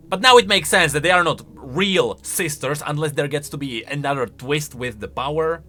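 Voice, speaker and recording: A man's voice: on the high side, sharp tone. A man giving casual, wry, opinionated reaction commentary as a video game lore commentator.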